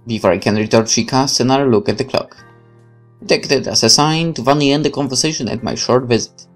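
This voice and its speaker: A man's voice: mid-range voice, fast. A man hosting a let's play, switching between casual commentary and reading the game's narration aloud, with game music behind him. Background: video game music.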